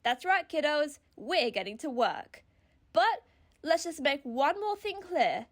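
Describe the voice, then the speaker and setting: Woman playing a high-pitched, peppy horror show host. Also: sing-song, slow pace, exaggerated voice. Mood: brisk, gleeful. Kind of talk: animated reality show announcing.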